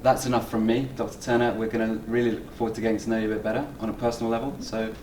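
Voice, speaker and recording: A young man with a fairly low voice hosts the interview at a brisk, cheerful clip, dropping frequent mm-hmms. He sounds slightly distant from the microphone, over faint hiss. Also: little pitch movement, darkish tone, roomy sound. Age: young adult. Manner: quick and cheerful, lots of mm-hmms. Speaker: student society president, interviewer. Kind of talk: upbeat interview hosting. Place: dental school, indoors.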